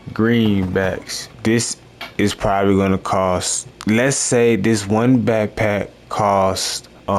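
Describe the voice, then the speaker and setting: A man doing rambling, improvised commentary over a game he is playing, with a meandering, self-amused, slow deadpan delivery. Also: lowish voice, gravelly. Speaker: gaming YouTuber.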